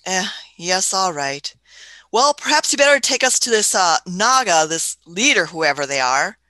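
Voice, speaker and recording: A woman's voice: fairly low pitch, big pitch swings, moderate pace, sharp tone, dry recording. A woman playing an elderly, inquisitive, fussy Doctor in a radio play, hemming and questioning as the character goes. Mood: musing, fussy. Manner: hemming, questioning, punctuates with hmm and uh.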